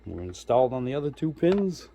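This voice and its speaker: A man's voice: mid-range voice, big pitch swings, fairly quick, gravelly, warm tone. Casual man demonstrating gear, noisy background. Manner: informal, folksy step-by-step explaining. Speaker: host of a radio gear review channel. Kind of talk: casual hands-on product demo.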